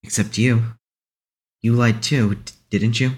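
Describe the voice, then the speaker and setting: A man's voice: medium pitch, fairly even intonation, measured pace, darkish tone, breathy edge. A man playing a shy, guarded, awkward young man who stammers hesitantly in an audio drama. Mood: nervous, guarded.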